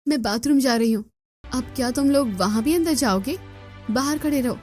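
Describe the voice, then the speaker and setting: Woman doing a young runaway bride, really high voice, dramatic n pleading, music under it. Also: normal speed, a little mellow, close to an everyday voice. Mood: defiant, urgent. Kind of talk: dramatized Hindi audio story acting.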